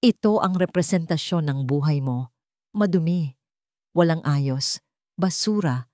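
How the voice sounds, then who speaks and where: A woman's voice: deep voice, lively intonation, unhurried, darkish tone, dry recording, clean studio sound. A woman voicing a haughty, cruel middle-aged male HR director in a story, mocking and condescending, with a Filipino accent. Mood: scornful.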